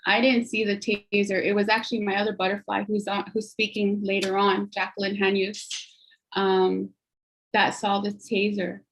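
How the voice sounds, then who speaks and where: A woman's voice: mid-range voice, little pitch movement, moderate pace, low-quality recording. A woman giving an earnest personal account at an online panel, talking conversationally over a video call. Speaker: guest speaker.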